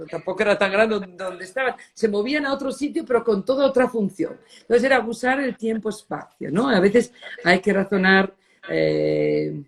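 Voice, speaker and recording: A middle-aged woman from Spain, speaking in a reflective interview and often pausing to search for words, with Italian words slipping in. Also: fairly high pitch. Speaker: designer interviewee.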